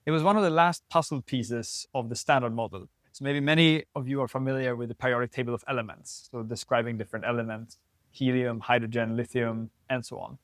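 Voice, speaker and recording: A man in his twenties from northern Sweden, a physics PhD student, calmly explaining his research in an interview. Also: medium pitch, brisk, dry recording, studio quality. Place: lab building at a physics facility.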